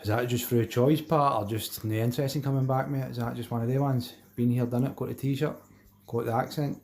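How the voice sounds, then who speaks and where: Man, Glasgow accent, gravelly, soft mellow tone, casual live chat, rambling, matey, colloquial. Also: medium pitch, steady tone, a little fast. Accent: Glaswegian. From Glasgow, Scotland. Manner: matey, rambling, broken phrasing, colloquial Scots wording. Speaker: fishing channel live-stream host. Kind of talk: casual live chat, reading and answering viewer messages.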